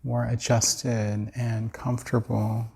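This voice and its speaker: Male meditation guide: mid-pitched, gravel-edged, slow and measured, soothing delivery with plenty of space between phrases. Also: little pitch movement, a little mellow. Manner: measured, soothing, with long pauses. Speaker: meditation teacher. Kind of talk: guided body scan meditation.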